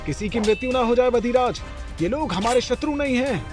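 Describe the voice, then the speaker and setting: A man's voice: very high-pitched, lively intonation, a little fast, music in the background. A man voicing a young heroic leader in a cartoon story, earnest and theatrical. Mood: appealing.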